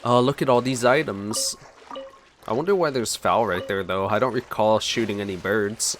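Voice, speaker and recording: A man's voice: lowish voice, moderate pace, fairly bright tone, a little hiss or hum. A man giving casual let's play commentary as a streamer, sometimes reading game text aloud. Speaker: gaming streamer.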